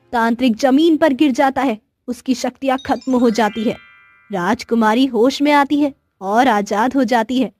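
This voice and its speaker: A woman's voice: fairly high pitch, dry recording. A woman narrating a scripted cartoon story as storyteller.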